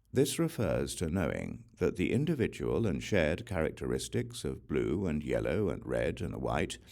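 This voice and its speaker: A man narrating a Buddhist scripture as an audiobook, reading in a measured, solemn way with deliberate phrasing. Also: very deep voice, vocal fry, a little mellow.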